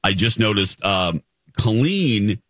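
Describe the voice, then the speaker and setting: A man's voice: on the low side, animated, takes it slowly, a bit bright, no echo, studio quality. An American man hosting a morning radio show with casual, playful, chatty banter.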